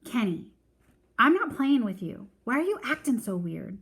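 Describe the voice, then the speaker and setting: A woman's voice: on the high side, very animated, moderate pace, a bit bright, a little hiss or hum. A woman voicing a bossy, exasperated little girl in a storybook read-aloud, dramatic and demanding, scolding like her mother. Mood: impatient.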